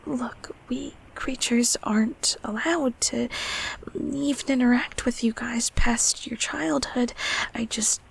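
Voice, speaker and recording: Gentle, nervous monster from the closet, female performer, high creaky voice, stammering and apologetic, intimate audio roleplay. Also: takes it slowly, full character voice, low-quality recording. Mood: apologetic, reassuring. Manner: flustered, stammering, repeating words. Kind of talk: audio roleplay acting, spoken to the listener.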